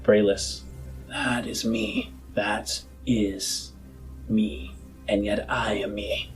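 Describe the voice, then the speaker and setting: A man voicing a solemn, visionary politician and former scientist in a tabletop game, speaking in deliberate, philosophical speeches with dramatic pauses. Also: fairly low pitch, fairly even intonation, soft background music, rough recording. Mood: grave, persuasive.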